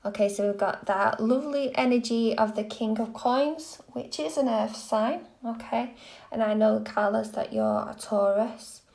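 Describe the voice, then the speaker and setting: A woman reading tarot on a live stream, gentle and soothing, a little croaky from a cold. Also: fairly high pitch, unhurried. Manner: gentle, soothing, lots of okays. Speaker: tarot reader.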